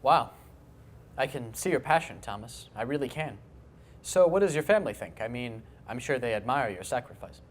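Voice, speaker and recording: An actor playing an interviewer who asks an astronaut smooth, probing questions. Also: male voice, medium pitch, animated, normal speed, a little hiss or hum, lightly acted.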